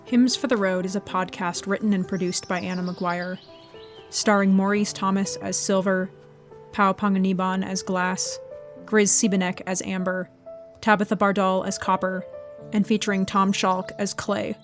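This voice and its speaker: Woman announcer reading over soft music. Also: mid-range voice, level tone, normal speed. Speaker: credits announcer. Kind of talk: scripted narration.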